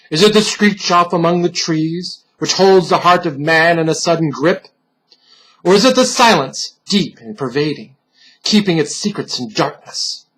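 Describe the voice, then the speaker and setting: Husky man reading dark horror tales dramatically. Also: fairly high pitch, poor audio. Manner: theatrical, solemn, deliberate delivery with hushed drops. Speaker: storyteller, reader.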